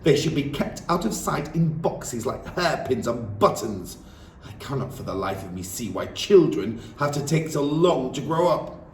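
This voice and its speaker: A man's voice: mid-range voice, very animated, a little hiss or hum, pitched above the performer's own voice, lightly acted. A man voicing a huge, tyrannical headmistress who barks and bellows at children, in a storybook reading. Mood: contemptuous. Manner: bellowing, barking, drawn-out threats. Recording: roomy, distant mic, faint ambience.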